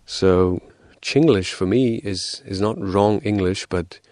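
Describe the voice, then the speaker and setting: A man's voice: deep voice, slow, darkish tone, no room sound. A man as a guest on a radio interview, explaining at length with frequent fillers and restarts. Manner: hesitant, many restarts and fillers; explains in numbered theories.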